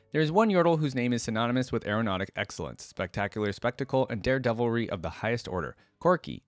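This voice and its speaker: A man doing casual reaction commentary as a video host, reading aloud and thinking out loud in a conversational way. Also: mid-range voice, no echo.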